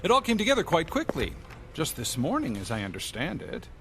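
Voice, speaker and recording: An actor playing a pompous, pushy businessman in a radio drama, wordy and theatrically smooth. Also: on the high side, huge pitch swings, brisk, noisy background. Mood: ingratiating.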